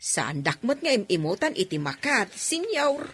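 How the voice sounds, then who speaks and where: A woman's voice: mid-range voice, sing-song, moderate pace, a bit bright, a little hiss or hum. A woman playing a folksy, loyal household nanny in an Ilocano radio drama, exclaiming expressively with an Ilocano accent. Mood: meek, questioning. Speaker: voice actor in radio comedy drama.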